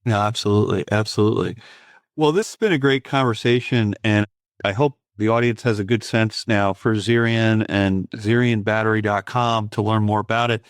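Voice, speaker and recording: A man's voice: on the low side, fairly even intonation, moderate pace, smooth, no room sound, clean studio sound. A man hosting a podcast interview, chatting in a thoughtful, conversational way. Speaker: podcast host.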